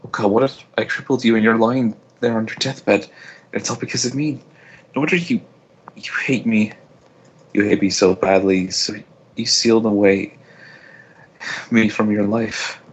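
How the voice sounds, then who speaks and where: A man voices a heartbroken, lonely young sister in an audio story, begging forgiveness. The delivery is halting and pleading, in a husky, rough voice. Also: lowish voice, fairly even intonation, low-quality recording. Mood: anguished self-blame.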